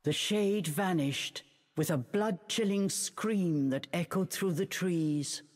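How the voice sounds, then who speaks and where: A woman playing a storybook narrator in a video game, measured and dramatic. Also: lowish voice, in a large hall.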